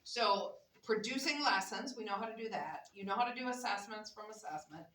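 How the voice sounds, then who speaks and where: A woman's voice: medium pitch, fairly quick, a crowd in the background. A woman instructor chatting to her class as she introduces a guest speaker, with some fillers. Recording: echoey classroom from far off with noise.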